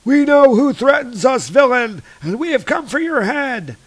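A man voicing a menacing dark elf warrior with urgent archaic battle cries, reading a comic aloud. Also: extremely high pitch, very animated, normal speed, voice pushed up, exaggerated voice. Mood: threatening.

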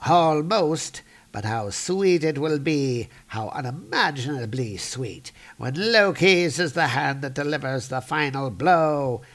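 A man voicing a scheming, spiteful trickster god from a comic book, with theatrical, drawn-out delivery and archaic, grand diction. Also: a little high, animated, a bit bright, full character voice. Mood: gloating.

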